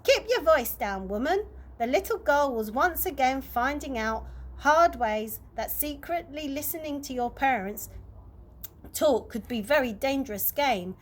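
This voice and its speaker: A woman's voice: high voice, animated, a little slow. A woman reading a chapter book aloud as bedtime story narration for children. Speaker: early years practitioner reading aloud.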